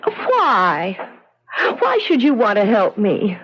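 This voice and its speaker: A woman's voice: mid-range voice, wildly swooping pitch, slow pace, fairly bright tone, breathy edge, heavily acted. An actress playing a frightened, pleading young woman in a 1970s radio drama, urgent and stammering. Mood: anxious, questioning. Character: frightened, distraught young woman, pleading about her dead sister. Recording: dull old radio broadcast recording.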